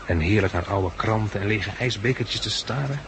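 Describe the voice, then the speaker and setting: A man's voice: fairly low pitch, normal speed, close to an everyday voice. An actor playing a reflective, world-weary male catastrophe survivor in a 1960s Dutch radio drama, articulate and wry, with a Netherlandic accent. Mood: wry, musing. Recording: noisy old 1960s radio recording.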